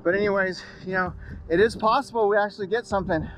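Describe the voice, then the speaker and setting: A man's voice: high voice, quick pace, slight background noise, poor audio. A man in his forties talking casually to viewers as host of an outdoor fishing vlog, repeating himself for emphasis. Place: outdoors on an open beach and in a kayak at sea.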